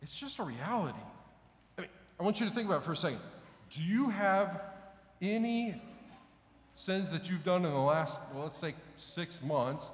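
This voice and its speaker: A man's voice: sits high, animated, normal speed, long pauses. Conversational male pastor in an echoey church. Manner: conversational preaching, asks the congregation questions, gestures with raised hands. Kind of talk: sermon. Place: large church sanctuary with congregation. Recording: echoey church hall, faint ambience.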